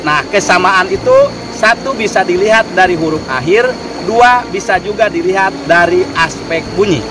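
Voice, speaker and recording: A man giving an explanatory religious lecture in a measured, didactic way, phrase by phrase. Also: sits high, background noise. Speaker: lecturer on Quranic studies. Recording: distant mic with music behind. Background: clear background music.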